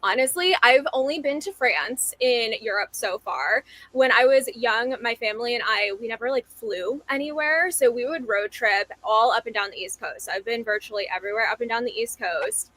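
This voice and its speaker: Bright, chatty young woman. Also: fairly high pitch, moderate pace, few pauses, rough recording. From New Jersey. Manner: chatty, laughs often. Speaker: dating show contestant. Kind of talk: casual speed-date chat.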